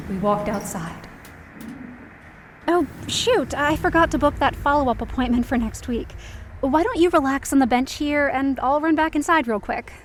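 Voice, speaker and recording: A woman's voice: on the high side, reverberant, distant mic, a little hiss or hum, lightly acted. A woman playing an emotional young woman who narrates her own story in an audio drama. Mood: relieved, happy. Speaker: first-person narrator and lead.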